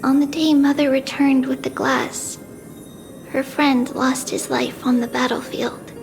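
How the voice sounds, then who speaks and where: A woman playing a small, gentle demigod priestess girl in a video game, soft-spoken, measured and wistful. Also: high voice, flat delivery, vocal fry, over music. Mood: tender, sorrowful.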